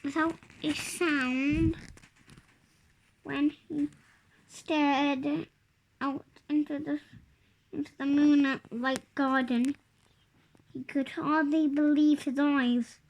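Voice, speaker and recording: A five-year-old child with a high voice reads a storybook aloud. The reading is very slow and halting, taken a word or two at a time, as an early reader does. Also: flat delivery, low-quality recording.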